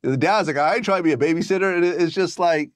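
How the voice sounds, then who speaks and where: A man's voice: on the high side, rapid-fire, dry recording. A man in his thirties in a small studio, talking through celebrity gossip in a matter-of-fact way. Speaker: podcast host. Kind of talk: gossip commentary in a podcast.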